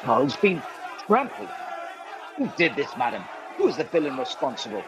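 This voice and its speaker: A man playing an earnest, righteous, protective gentleman in a radio play, speaking formally and theatrically. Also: medium pitch, big pitch swings, moderate pace, highs cut off, some chatter behind. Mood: indignant.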